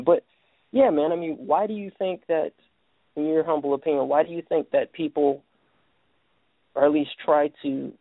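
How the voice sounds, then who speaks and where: Gravelly man hosting call-in radio. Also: a little high, no echo. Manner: halting, many restarts and fillers. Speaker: radio show host. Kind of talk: casual call-in radio talk. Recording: narrow phone-like sound, dry.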